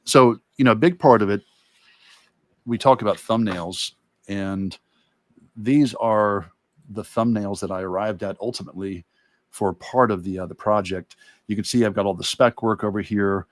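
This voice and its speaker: A man with a low voice, recorded close and dry, talks casually as an illustrator explaining his process. He is reflective and thinks aloud, restarting phrases as he works toward his point. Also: normal speed, no room sound. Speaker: guest illustrator and art teacher. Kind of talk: casual explanatory livestream talk.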